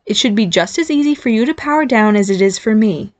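A woman's voice: fairly high pitch, animated, a little fast, darkish tone, dry recording, natural voice. A woman voicing a firm fairy mother in an audiobook, insistent and stern yet sympathetic. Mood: soothing. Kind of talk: audiobook character acting.

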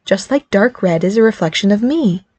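A woman's voice: medium pitch, expressive, moderate pace, a little mellow, husky, no echo. A woman voicing a firm fairy mother in an audiobook, insistent and stern yet sympathetic. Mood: stern, impassioned. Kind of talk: audiobook character acting.

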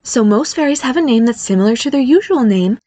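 Woman playing an earnest, excitable fairy mom, pitched up. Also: brisk. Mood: cheerful, coaxing. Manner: excited, coaxing, gently scolding. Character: upbeat, earnest fairy mother, firm with her children. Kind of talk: storybook character voice.

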